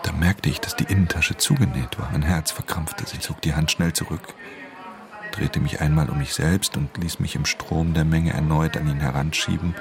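A man's voice: steady tone, normal speed, music in the background. An actor playing a weary, guarded pickpocket who tells his own story in a German radio drama, restrained and sombre. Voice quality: very deep, gravelly. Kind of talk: German radio drama, first-person narration and dialogue.

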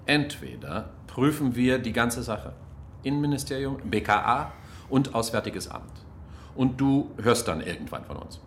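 An actor playing a wry, evasive German diplomat in a radio drama, dry and ironic in manner. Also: male voice, medium pitch, sing-song, normal speed, fairly bright tone, slight background noise. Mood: wry bargaining. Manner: dry, ironic, smooth-talking. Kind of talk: naturalistic radio drama acting.

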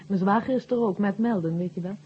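A woman's voice: mid-range voice, fast talker, dull audio, slight background noise, lightly acted. A woman acting in a 1970 radio drama as an obliging, anxious hostess, speaking politely on an old recording. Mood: hospitable, slightly anxious. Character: Helen, obliging, anxious, hospitable sister-in-law.

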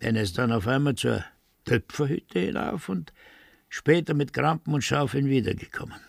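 Gravelly, wary villager. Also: male voice, medium pitch, expressive, dry recording, studio quality. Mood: confiding, uneasy. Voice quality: creaky, gravelly. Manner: halting, confiding, deliberate. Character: wary, resigned village witness confiding grim news. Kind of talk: radio play acting.

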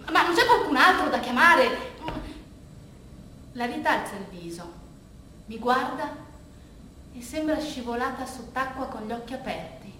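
A woman performing a colloquial, bittersweet theatrical monologue, telling it in a halting, conversational way with hushed asides. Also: sits high, expressive. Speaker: monologue actress and narrator. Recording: very roomy, distant stage recording, faint noise.

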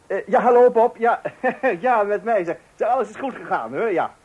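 Flustered high-voiced father. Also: expressive, normal speed, slightly rough, muffled recording. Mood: excited. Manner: stammering, repeating himself, scattered. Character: flustered, excited father juggling children and telephone. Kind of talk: radio play acting. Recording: noisy old radio play recording.

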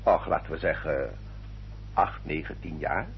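An actor playing a courteous, self-justifying English baronet in a radio drama, with a formal, polished and elaborately polite manner. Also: male voice, rumbling low, a little slow. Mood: suave, explaining. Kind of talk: old radio drama acting. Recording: dull old radio recording, faint hum.

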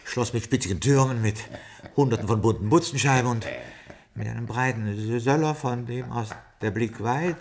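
An actor playing a prim, loyal butler to a Scottish lord in a 1960s German radio play, formal, fussy and deferential. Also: male voice, mid-range voice, normal speed, natural voice. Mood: solemn reading.

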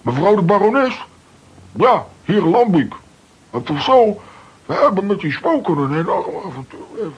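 A man's voice: high voice, big pitch swings, unhurried, breathy edge, full character voice. A man playing an eager, self-important comic-strip adventurer in a children's audio drama, chatty and exclamatory. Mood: eager, breezy. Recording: noisy, muffled drama recording.

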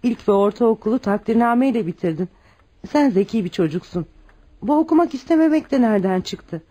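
A woman's voice: on the high side, expressive, fairly quick, warm tone, slightly rough, lo-fi sound. An actress playing a devoted, self-sacrificing widowed mother who works as a maid, pleading tenderly and emphatically in a radio drama. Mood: anxious, reproachful. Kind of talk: scripted radio drama acting.

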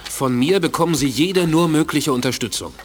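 An actor playing a courteous, somewhat guarded alien leader in a science fiction radio drama. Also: male voice, fairly high pitch, fairly quick, a little hiss or hum, natural voice. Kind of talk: 1980s German radio drama acting.

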